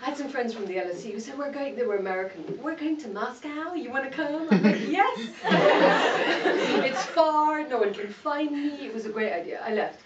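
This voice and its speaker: A woman's voice: high voice, lively intonation, moderate pace, few pauses. A middle-aged woman from Malawi giving a candid, self-mocking talk to an audience and laughing often. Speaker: guest speaker. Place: event hall. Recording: echoey hall, distant mic, dull sound, noisy.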